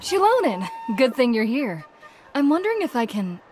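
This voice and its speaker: A woman's voice: sits high, very animated, moderate pace. An actress playing a friendly, chatty, forgetful young village woman in a video game, with a hesitant conversational delivery. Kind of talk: English video game voice acting. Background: music.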